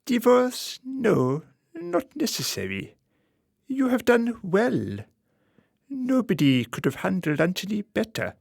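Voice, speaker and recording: A man acting a suave, calculating political fixer in a radio play, speaking formally and deliberately with quiet menace. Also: high-pitched, wildly swooping pitch, creaky, dry recording, clean studio sound, heavily acted. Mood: smooth, persuasive. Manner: formal, measured, deliberate phrasing.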